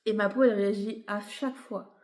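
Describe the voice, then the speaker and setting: A woman's voice: medium pitch, fairly even intonation, fairly quick, darkish tone, reverberant. A woman with a cold, a little tired, explaining her knitting in a chatty, rambling way, full of fillers and self-corrections. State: has a cold; a bit tired. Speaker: knitting vlogger. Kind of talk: casual show-and-tell explanation.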